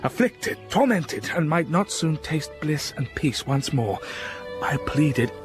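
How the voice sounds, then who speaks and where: An actor playing a blind, scarred older gentleman in a radio drama, speaking with intense, impassioned feeling. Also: a little high, big pitch swings, takes it slowly, music in the background. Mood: brooding.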